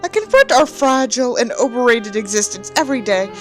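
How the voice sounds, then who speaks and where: A woman's voice: a little high, animated, brisk, soft background music, close to an everyday voice. A woman voicing a whiny, self-important, bitterly nihilistic writer in a mock dramatic book reading. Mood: anguished accusation. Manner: melodramatic, pompous dramatic reading.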